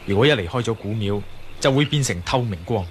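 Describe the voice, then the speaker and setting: Man acting calm guy in charge explaining stuff, radio drama, bit of noise behind him. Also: fairly low pitch, a little fast, close to an everyday voice. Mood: calm, reassuring. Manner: explanatory, reassuring. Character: level-headed male adventurer, reassuring man in charge.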